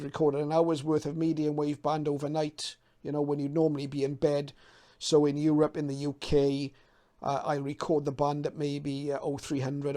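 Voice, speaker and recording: A man's voice: a little high, warm tone. A man in his fifties from the UK talking casually to camera as a hobby channel host, explaining things a little hesitantly as he thinks aloud. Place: small cluttered home radio room.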